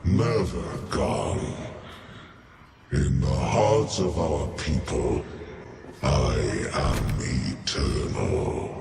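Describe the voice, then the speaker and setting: Giant phantom warlord, video game villain, very slow, taunting, theatrical swoops, loud rumbling noise behind. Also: male voice, creaky, exaggerated voice. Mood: mocking, menacing. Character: huge, mocking, undying alien warlord's nightmare phantom.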